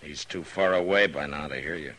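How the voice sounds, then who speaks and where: An actor playing a stern, steady frontier lawman in a 1950s radio western, terse, commanding and probing. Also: rumbling low, brisk. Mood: matter-of-fact. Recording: noisy old radio recording.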